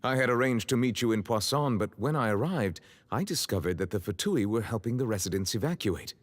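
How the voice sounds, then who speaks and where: A man playing a dignified, reserved high official in a video game, speaking formally and politely in measured phrases. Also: lively intonation. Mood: calm explaining. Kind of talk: video game character acting.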